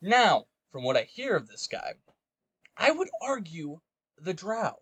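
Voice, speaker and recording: A man's voice: high-pitched, very animated, a little slow, a bit bright, husky, dry recording. A man in casual, opinionated conversation as a podcast co-host talking fantasy gaming lore.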